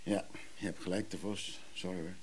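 An actor playing an apologetic, ingratiating antiques hustler in a radio play, smooth but hesitant. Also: male voice, low-pitched, steady tone, normal speed, a little hiss or hum, natural voice. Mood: smooth, placating.

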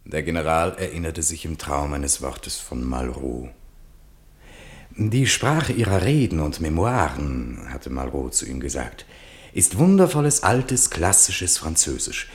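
An actor playing a solemn chronicler of a sleeping general's dreams, narrating in a stately, literary radio-play manner. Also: male voice, extremely low pitch, big pitch swings, measured pace, heavily acted.

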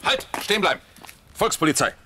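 An actor playing a calm East German police detective in a crime radio play, terse and matter-of-fact. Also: male voice, high voice, a little fast, background noise. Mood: brisk, commanding.

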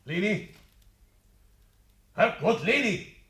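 Gruff, stubborn Bavarian farmer. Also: male voice, sits high, big pitch swings, drawn-out words, sharp tone, heavily acted. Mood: angry, demanding. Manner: gruff, blunt, commanding. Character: stubborn, hot-tempered Bavarian farmer, a widowed father. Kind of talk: German radio drama acting in dialect.

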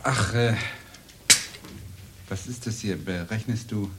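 Inquisitive newcomer from abroad, radio drama. Lowish voice, courteous, tentative; heavy noise on the recording. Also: male voice, slow pace. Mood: curious. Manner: tentative, questioning. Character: curious, polite foreign male visitor. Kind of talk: naturalistic radio drama dialogue. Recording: loud background noise, clicks.

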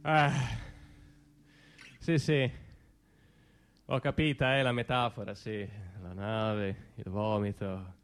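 An actor playing a neurotic, anxious everyman in a theatrical comic monologue, halting and muttering, then frantically exclaiming. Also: lowish voice, steady tone, smooth. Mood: musing, envious. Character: neurotic, self-deluding everyman, anxious and easily queasy. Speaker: stage monologist.

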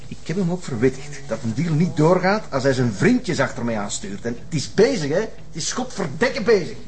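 A man's voice: a little high, very animated, fairly quick. A man with a Flemish accent playing a menacing, bitter kidnapper who taunts a captive in a television crime drama. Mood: menacing, resentful. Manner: taunting, controlling, sinister.

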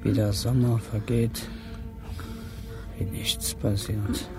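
Slow, monotone brooding young man. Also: lowish voice, music in the background. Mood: brooding, numb. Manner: brooding, repetitive short phrases. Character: withdrawn, brooding young male driver, passive onlooker. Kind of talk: radio play monologue.

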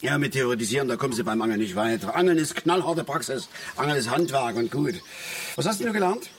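An actor playing a middle-aged East German working-class angler, blunt, folksy and grumbling, in a naturalistic radio play. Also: male voice, medium pitch, animated, brisk, slight background noise, natural voice.

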